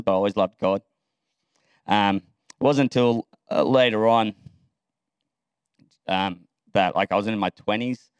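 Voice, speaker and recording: A man's voice: fairly low pitch, clean tone, no room sound. A man in his late thirties with an Australian accent, a pastor giving a candid, self-deprecating testimony to a church, with many ums. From Sri Lanka.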